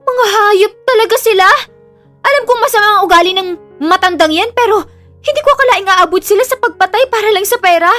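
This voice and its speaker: A woman playing an excitable, loyal young best friend in an audio drama, with a Filipino accent and exclamatory, slangy Taglish delivery. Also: very high-pitched, a little fast. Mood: alarmed, protective. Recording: music under the voice.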